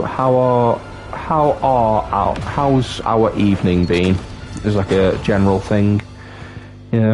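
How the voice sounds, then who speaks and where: A young man from North Wales with a very deep, low voice chats with his livestream viewers in an easy, halting way, often restarting his sentences. Game music plays clearly underneath him. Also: a little mellow. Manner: chatty, halting, with many restarts. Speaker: streamer. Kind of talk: casual livestream chat.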